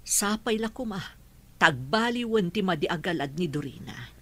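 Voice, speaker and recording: A Filipino actress in a radio drama playing a concerned middle-aged mother and lady of the house, measured and earnest. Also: on the low side, lively intonation, fairly bright tone. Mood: worried, earnest. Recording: clearly noisy, ambient background.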